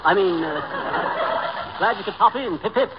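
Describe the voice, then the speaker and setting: A man playing an excitable, boastful husband with slangy, folksy talk and pompous airs in an old-time radio sitcom. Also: a little high, a little fast, lightly acted. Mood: pompous mock-British. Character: excitable, corny, boastful husband with big ideas. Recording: muffled, noisy old radio recording.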